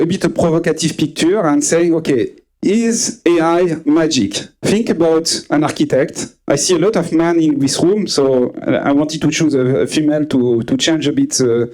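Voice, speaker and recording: A man in his forties from France with a French accent, giving a conference talk to a live audience, pausing often with fillers and restarts. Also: a little high, darkish tone. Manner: hesitant, many uh fillers and restarts. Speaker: conference speaker.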